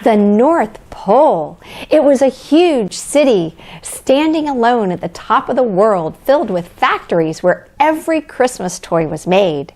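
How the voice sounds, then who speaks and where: A woman plays Santa's kindly wife as a storybook narrator for young children. The delivery is gentle and cheerful, taken at a leisurely pace. The melody rises and falls with plenty of expression. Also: a little high, big pitch swings, a little slow. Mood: gentle, excited. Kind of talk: children's storybook read-aloud.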